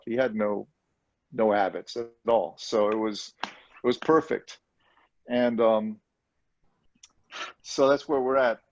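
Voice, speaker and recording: A man talking casually from home on a video call, halting and rambling with restarts. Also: on the low side, vocal fry, a little mellow, slight background noise. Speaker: music producer. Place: at home with a dog. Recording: dull lo-fi call audio.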